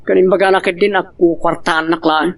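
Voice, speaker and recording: A man's voice: high-pitched, little pitch movement, brisk, a bit bright, recorded from a distance. A man with an Ilocano accent playing a hot-tempered cheating husband in a romance audio drama. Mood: agitated, threatening. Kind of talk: Ilocano radio-style drama acting.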